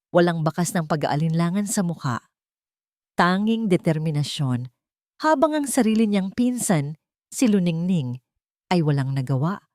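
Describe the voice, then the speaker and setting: Woman with a low voice narrating a drama. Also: a little mellow, no echo, studio quality. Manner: measured, grave storytelling.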